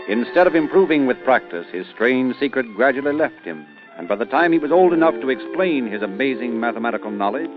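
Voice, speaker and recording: Male narrator, vintage radio style: theatrical, deliberate, building suspense over prominent music, muffled sound. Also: mid-range voice. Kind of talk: scripted dramatic old-time radio narration. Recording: dull old radio recording.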